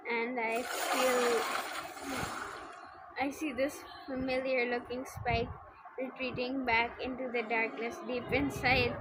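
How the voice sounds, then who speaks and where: A woman's voice: a little high, heavy background noise. A woman streamer giving casual gameplay commentary and reading dialogue aloud, blunt, joking and sweary. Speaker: game streamer. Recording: loud game music behind voice. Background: loud game music.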